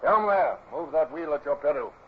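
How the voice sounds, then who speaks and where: A resolute British naval captain, played by a male actor, speaks in a clipped, formal and commanding way. It is old-style radio drama acting, grave in temper. The recording is muffled, with loud noise underneath. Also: fairly high pitch, animated, normal speed, natural voice. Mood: commanding, urgent. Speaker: lead actor and narrator.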